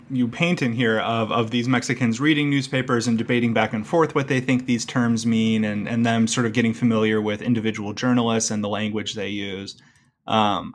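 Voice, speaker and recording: A man's voice: fairly low pitch, fairly bright tone. A man hosting a conversational book interview, framing his points thoughtfully and at length, repeating words as he goes. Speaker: podcast interviewer.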